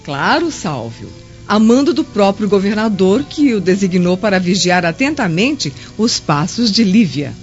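A scheming, sharp-tongued Roman lady in a radio drama. She sneers sarcastically, over faint music on a dull recording. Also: mid-range voice, big pitch swings, a little slow. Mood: scornful. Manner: sarcastic, theatrical.

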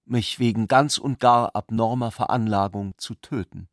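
A male actor playing an ironic, courteous intellectual cousin in a German literary radio drama, speaking eloquently and deliberately. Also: lowish voice, steady tone, dry recording, studio quality, natural voice. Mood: earnestly playful. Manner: eloquent, deliberate, earnestly polite.

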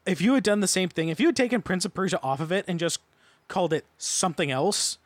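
A man with a notably high voice holds forth in a casual group discussion. He is a podcast panelist who gives opinions and swears freely. The sound is very dry, with no room on it. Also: lively intonation, quick pace, dry recording.

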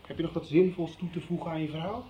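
An actor in a radio soap playing an earnest young man, probing and confiding, with a husky voice. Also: on the high side, quick pace, mellow, slight background noise, lightly acted. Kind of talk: 1990s Dutch radio soap acting.

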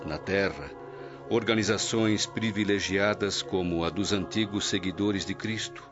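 A Brazilian man playing a troubled, courteous old Roman nobleman in a radio drama, speaking in a solemn, formal, measured way. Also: low-pitched. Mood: earnest, questioning. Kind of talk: dramatic radio soap acting. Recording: dull lo-fi radio drama recording. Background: loud dramatic music.

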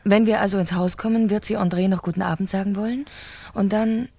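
An actress playing a cold, calculating wife who plots in a precise, commanding manner in a German radio crime drama. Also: female voice, medium pitch, steady tone, fairly quick, dark tone, no echo, natural voice. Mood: coolly calculating. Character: cold, calculating French wife plotting her husband's murder.

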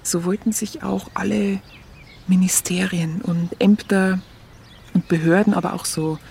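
An actress playing a plainspoken, wry Munich woman with a Bavarian accent, telling her memories haltingly and conversationally in a radio play. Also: on the low side, steady tone, vocal fry, dark tone, a little hiss or hum. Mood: wry, chatty. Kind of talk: colloquial first-person narration in radio play.

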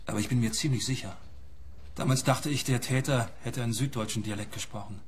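A man's voice: medium pitch, a little fast, a little hiss or hum, close to an everyday voice. An actor playing an earnest, traumatised and stubborn male client in a radio play, speaking seriously and insistently. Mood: earnest, troubled.

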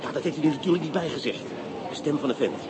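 Brusque, wry police inspector played by a man; slightly high voice over a noisy background. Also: fast, natural voice. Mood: urgent. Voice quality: gruff, impatient, dry humor. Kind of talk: radio drama acting.

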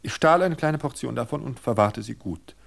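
A man reading a story aloud and voicing a frightened wife who stalls her husband with a made-up confession, his voice raised high and halting. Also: expressive, gravelly. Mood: coolly scheming. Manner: high, halting, desperate then measured.

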